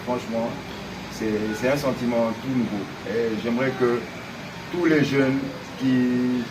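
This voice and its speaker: A man who grew up in Senegal answers interview questions in an earnest, halting way, filling his pauses with hesitations. He sounds distant from the microphone. A clear, steady background hum runs underneath him. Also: mid-range voice. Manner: hesitant, many fillers, earnest. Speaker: guest. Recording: distant mic with steady noise.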